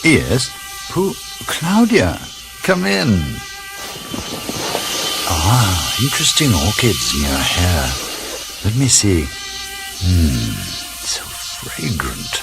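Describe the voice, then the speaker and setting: A gravelly, swooning old professor. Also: male voice, low-pitched, huge pitch swings, over loud music, full character voice. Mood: amorous. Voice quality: gravelly, slow, sighing, drops into French murmurs. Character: amorous, swooning middle-aged male professor. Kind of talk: radio drama acting.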